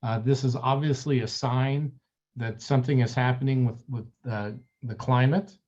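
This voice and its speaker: A man hosting a webinar on a video call. He reads out a long guest introduction in a friendly, slightly halting way. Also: mid-range voice, steady tone, a little mellow, lo-fi sound. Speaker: webinar moderator.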